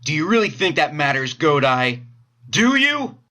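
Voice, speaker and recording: A man's voice: a little high, very animated, measured pace, bright, forceful tone, full character voice. A man playing a cocky, sarcastic teenage boy who taunts people in an amateur anime audio drama. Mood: taunting.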